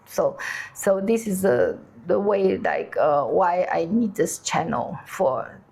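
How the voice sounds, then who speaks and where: A woman whose first language is Chinese, a cook co-hosting a casual chat, talking haltingly as she searches for the right English words. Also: on the low side, expressive, vocal fry, darkish tone, breathy edge. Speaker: cook and channel co-host. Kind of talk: casual conversation.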